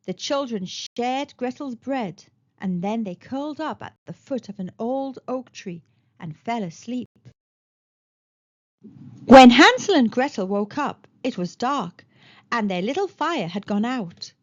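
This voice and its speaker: A woman narrating a children's storybook aloud in an expressive storytelling style, on a slightly muffled recording. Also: a little high, a little slow.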